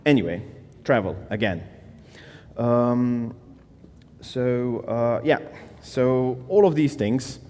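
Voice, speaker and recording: A man's voice: on the low side, a little mellow, big-room sound, slight background noise. A man from Slovenia giving a casual conference talk on stage, with loose phrasing, fillers and restarts. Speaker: conference speaker.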